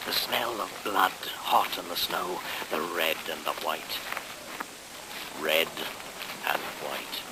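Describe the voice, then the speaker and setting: Deep, brooding ancient shaman spirit. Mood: brooding, haunting. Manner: incantatory, breathy half-whispers, dramatic pauses. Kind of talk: dramatic radio play monologue. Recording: hissy 1970s radio recording.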